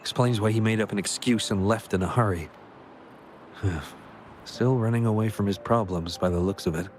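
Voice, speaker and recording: A man's voice: fairly low pitch, normal speed, a little hiss or hum, lightly acted. A man playing an earnest, apologetic young researcher in a video game, hesitant and confiding. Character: earnest, apologetic young male researcher, worried about friend.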